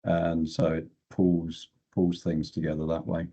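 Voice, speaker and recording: A man's voice: deep voice, little pitch movement, unhurried, warm tone, breathy edge. An older man, an exploration geochemist, telling stories casually as an interview guest.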